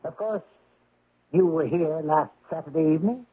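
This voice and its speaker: An actor playing an impatient, authoritative examining magistrate in a 1970s radio drama, questioning briskly and peremptorily. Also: male voice, a little high, husky. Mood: stern. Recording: tinny vintage radio broadcast.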